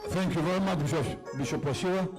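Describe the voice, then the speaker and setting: A man's voice: fairly high pitch, fast, over music, poor audio. Man hosting a formal live event. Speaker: master of ceremonies.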